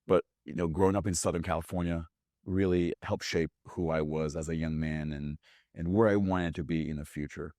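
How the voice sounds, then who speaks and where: Deep-voiced guy from brazil, portuguese first language, chatty storytelling, lots of restarts, dry sound. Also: moderate pace, dry recording. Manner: animated, with frequent restarts and "like". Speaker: guest, business owner. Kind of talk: casual interview storytelling.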